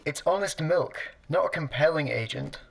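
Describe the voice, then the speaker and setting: Radio comedy machine: actor as sarcastic male toaster computer, lightish high voice, dry, fussy and unimpressed. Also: normal speed, gravelly, husky, poor audio. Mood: dryly mocking. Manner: deadpan, dry, formal. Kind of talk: sci-fi comedy radio play acting.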